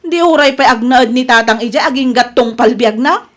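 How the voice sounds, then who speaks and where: Woman playing high-pitched, resentful Ilocano daughter. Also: normal speed, close to an everyday voice. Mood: dismissive. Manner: curt, dismissive. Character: self-justifying, resentful grown daughter shirking care of father. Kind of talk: Ilocano comedy radio drama acting.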